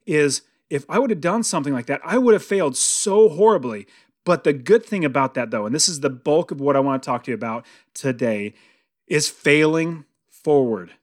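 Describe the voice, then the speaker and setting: An American man in his early forties hosting a motivational podcast monologue, conversational and emphatic, repeating phrases for stress. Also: a little high. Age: early 40s. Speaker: podcast host and real estate investing coach.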